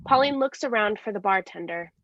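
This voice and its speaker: Creaky woman reading stage directions. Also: medium pitch, animated, a little fast, a bit bright, slight background noise. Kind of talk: scripted narration. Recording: slightly dull lo-fi sound.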